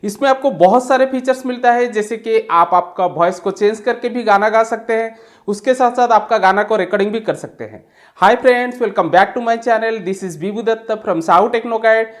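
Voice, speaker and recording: A woman's voice: fairly high pitch, a little slow. Indian woman narrating a product review. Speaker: tech review channel host. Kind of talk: casual product unboxing narration.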